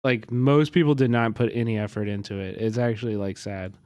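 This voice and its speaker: A man's voice: on the low side, fairly even intonation, fairly quick, a little mellow, dry recording. A man streaming live and reacting to a video, rambling with lots of fillers and casual swearing. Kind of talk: livestream reaction commentary.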